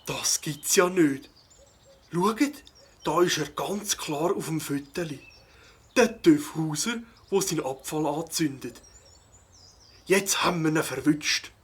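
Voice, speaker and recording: An actor playing a kindly, concerned birdwatcher in a children's audio drama, speaking in a husky, breathy voice with a Swiss German accent. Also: male voice, on the high side, takes it slowly, pauses often. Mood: excited. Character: kindly adult male birdwatcher, concerned, helpful.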